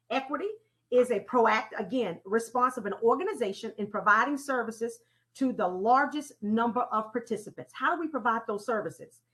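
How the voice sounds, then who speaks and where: A woman leading an interactive training talk in a deliberate, teacherly way, putting questions to her audience and repeating key phrases. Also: a little high, fairly bright tone. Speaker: presenter leading a training session. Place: online conference call with slides.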